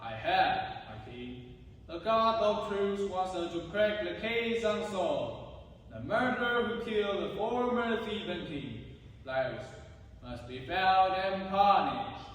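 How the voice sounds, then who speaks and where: A man as high-voiced loyal royal counsellor, echoing hall. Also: expressive, slow, fairly bright tone, a little hiss or hum, heavily acted. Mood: dutiful. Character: loyal, measured royal brother-in-law, Creon. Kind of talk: stage reader's theatre. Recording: distant echoey hall, faint music.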